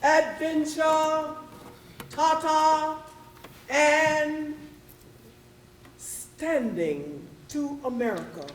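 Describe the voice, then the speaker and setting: A woman's voice: high-pitched, slight background noise. A woman playing an exultant, greedy figure in a lilting, half-sung verse recital, distant in an echoey room. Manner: lilting, drawn-out chanting.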